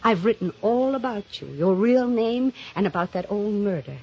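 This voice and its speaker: A woman's voice: medium pitch, animated, darkish tone, husky. An actress playing an obsessive, jealous woman novelist turned killer, speaking and narrating dramatically in a 1950s radio mystery style. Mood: coolly scheming. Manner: dramatic, intense, deliberate delivery. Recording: dull old radio recording, music behind.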